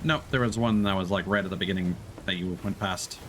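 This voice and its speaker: Low-voiced man chatting over game noise. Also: quick pace, a bit bright. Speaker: game streamer. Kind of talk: casual chat while gaming. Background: video game sea and ship ambience.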